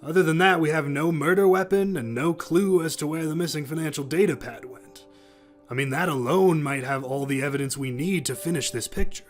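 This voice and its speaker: A man's voice: fairly high pitch, brisk, lightly acted. A man playing a blunt, questioning detective in an amateur sci-fi audio play. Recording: music under the voice.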